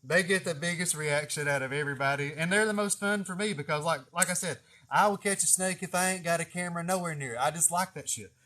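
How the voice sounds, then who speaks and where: Higher-pitched Southern man spinning casual yarns. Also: sharp tone. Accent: Southern US, East Tennessee. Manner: folksy, profane, chuckling storyteller. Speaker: snake catcher hosting a live video. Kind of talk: casual rambling storytelling on a livestream. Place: greenhouse garden.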